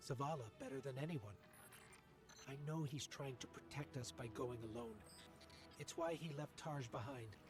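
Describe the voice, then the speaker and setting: An actor voicing a devoted little machine companion in a video game, earnest, tender and reflective. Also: male voice, medium pitch, moderate pace, over music, close to an everyday voice. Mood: worried, urgent. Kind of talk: video game cutscene monologue.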